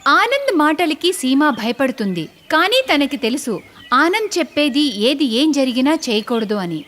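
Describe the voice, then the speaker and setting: A woman's voice: high-pitched, sing-song, a little slow, fairly bright tone. A woman narrating a scripted story in a dramatic storytelling style.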